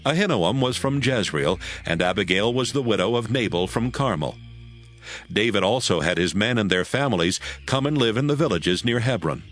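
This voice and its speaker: A man narrating a Bible audio drama from a script in a measured storytelling manner. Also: on the low side, animated, fairly bright tone. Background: music.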